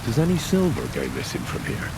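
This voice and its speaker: A man's voice: mid-range voice, wildly swooping pitch, fairly quick, gravelly, heavy background noise. An actor playing a polite young medieval man questioning workers, in video game dialogue. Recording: game audio with rain.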